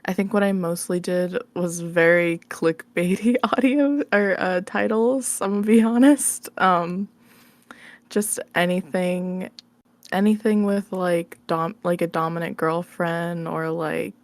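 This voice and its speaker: A woman with a slightly low voice answers questions in a casual interview. She is giggly and informal, feeling her way through sentences. The recording is dry and close. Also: measured pace, no echo. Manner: giggly, informal, hesitant phrasing with many likes. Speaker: interview guest, ASMR roleplay creator.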